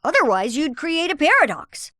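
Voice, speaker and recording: A woman's voice: high-pitched, very animated, normal speed, sharp tone, no echo, studio quality, heavily acted. A woman playing an upbeat, confident boy inventor in a video game, talking in precocious, wordy scientific phrases. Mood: urgent, determined. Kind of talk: animated video game voice lines.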